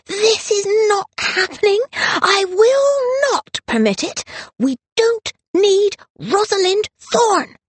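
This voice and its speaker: A woman voicing a haughty, hot-tempered young aristocratic daughter in an audiobook, sharp and indignant in manner. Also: low-pitched, big pitch swings, normal speed, hardly any pauses, husky, no room sound, exaggerated voice. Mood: furious shouting. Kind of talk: dramatised audiobook character voice.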